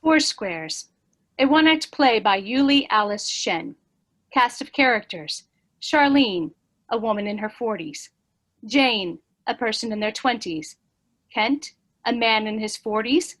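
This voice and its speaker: A woman reading stage directions in a measured, even way for a virtual play reading. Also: on the high side.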